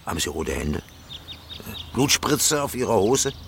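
A man's voice: on the low side, sing-song, unhurried, a bit bright, full character voice. An actor playing a chatty, teasing, self-important male postman in a radio drama, with a fussy, gossipy way of talking. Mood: teasing, officious. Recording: clear background noise, outdoor ambience.

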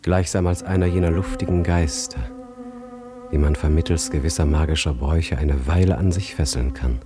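Deep-voiced, grave poet narrator. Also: male voice, over music. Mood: solemn, reverent. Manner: measured, literary, reflective. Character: grave, enraptured male poet recalling his muse. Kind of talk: first-person radio drama narration.

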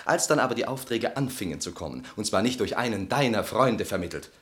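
An actor playing a resentful, self-absorbed, brooding husband in a German literary radio play, with an intense, articulate, theatrical delivery. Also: male voice, lowish voice, animated, fairly quick, close to an everyday voice.

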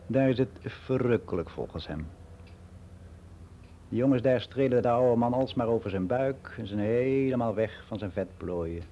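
A man's voice: mid-range voice, moderate pace, warm tone, slight background noise, lightly acted. An actor playing a world-weary middle-aged man who rambles in a frank, confiding way in a radio play monologue. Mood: gossipy. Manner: rambling, confiding, matter-of-fact.

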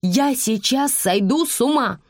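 A woman's voice: fairly high pitch, big pitch swings, moderate pace, darkish tone, slightly rough, dry recording, clean studio sound, voice pushed up. A woman voicing an overwrought, haughty, snappish mother in an audiobook, demanding and exasperated. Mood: hysterical anger.

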